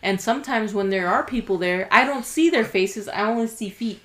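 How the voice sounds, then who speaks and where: A woman's voice: a little high, fairly quick, fairly bright tone. A woman co-hosting a casual podcast, answering with easy short reactions and sharing her thoughts in plain talk. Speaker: podcast co-host. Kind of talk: casual chat.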